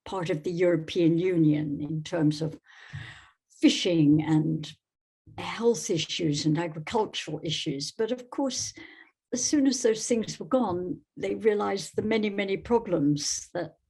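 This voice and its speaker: A woman's voice: lowish voice, a little slow, gravelly, warm tone, slightly rough. A woman from Scotland speaking as an interview guest in a thoughtful, hesitant way, searching for words. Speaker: podcast guest, novelist.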